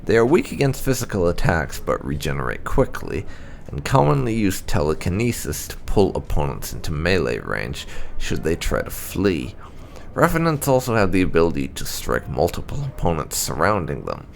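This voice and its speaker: A man reading game lore entries aloud as scripted narration, in a formal, measured, deliberate way. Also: sits low, very animated, vocal fry, a little hiss or hum. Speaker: gaming channel host.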